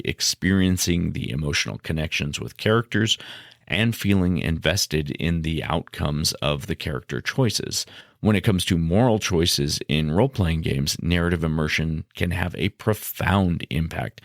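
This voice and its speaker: A man with a low, gravelly voice hosts a podcast. He explains in a measured, instructive way, as if working from notes. The sound is dry, with no room echo. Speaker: podcast host. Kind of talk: explanatory podcast monologue, semi-scripted.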